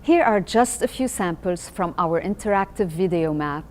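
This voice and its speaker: A woman host clearly presenting a segment from a script. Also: lowish voice, expressive, moderate pace, a little mellow, slight background noise.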